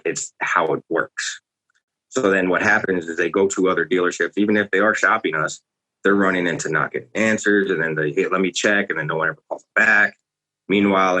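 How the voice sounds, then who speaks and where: A man, a car dealership sales director, speaking as a podcast guest in a flat, monotone way. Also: low voice, fairly quick, poor audio. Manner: self-described monotone, even delivery.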